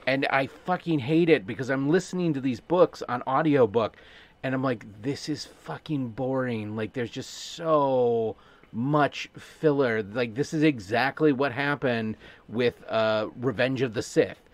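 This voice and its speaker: A man co-hosting a podcast in casual chat, animated and quick with asides and casual swearing. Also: medium pitch. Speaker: podcast co-host.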